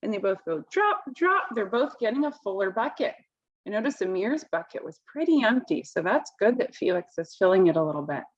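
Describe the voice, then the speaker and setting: A woman reading a picture book aloud to children, expressively, pausing to explain it in simple words. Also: mid-range voice, big pitch swings, muffled recording.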